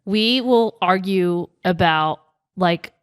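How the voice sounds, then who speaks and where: A young woman co-hosting a podcast, soft-spoken and quiet, chatting casually with lots of fillers. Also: fairly low pitch, takes it slowly, clean tone, no echo, clean studio sound. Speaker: podcast co-host. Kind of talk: casual chat.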